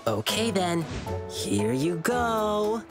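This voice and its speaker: A man's voice: high-pitched, very animated, very slow, loud music behind the voice, heavily acted. An actor voicing a cheerful, eager young lion-eared boy performer in a video game, friendly and bubbly. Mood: gentle, friendly. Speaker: lion dance troupe performer.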